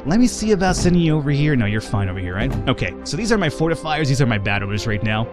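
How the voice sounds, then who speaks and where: Young male let's-play host, fast, rambling, game music playing underneath. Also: mid-range voice, very animated. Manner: rambling, self-correcting, chuckles at his own slips. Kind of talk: gameplay walkthrough commentary.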